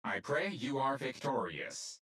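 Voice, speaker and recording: A man's voice: medium pitch, sing-song, a little slow, far from the mic, background noise. Pedantic, swooping male game AI. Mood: encouraging. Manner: precise, formal, correcting. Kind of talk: video game cutscene acting.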